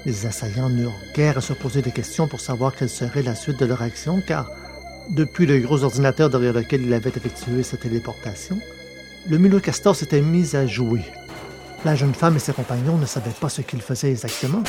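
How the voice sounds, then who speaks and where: A male narrator reads a science-fiction tale from a script. His voice sits at an average pitch, with faint music behind it. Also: a little fast.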